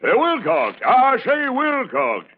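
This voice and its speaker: An actor playing a bumptious, inquisitive senator in a comic vintage radio commercial. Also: male voice, very high voice, wildly swooping pitch, slow, narrow, tinny audio, full character voice. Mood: blustering. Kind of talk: comic old-time radio ad skit. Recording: band-limited old radio recording.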